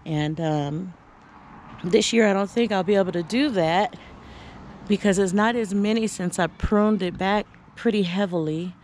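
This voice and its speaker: A woman's voice: medium pitch, measured pace, a little mellow, a little hiss or hum. A woman giving a casual, chatty tour of her backyard garden, talking from the camera as she walks, with easy fillers and restarts.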